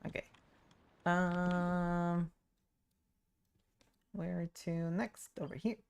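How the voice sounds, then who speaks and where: A woman's voice: on the low side, level tone, extremely slow, a little mellow, clear, resonant tone. A woman livestreaming a video game, chatting casually and making short offhand remarks to herself as she plays. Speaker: streamer, VTuber. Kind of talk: casual gameplay livestream chat. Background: video game music and sounds.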